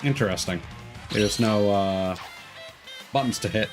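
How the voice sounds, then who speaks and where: A man's voice: on the low side, unhurried. Casual male game streamer, music behind. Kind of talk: casual livestream chat while gaming.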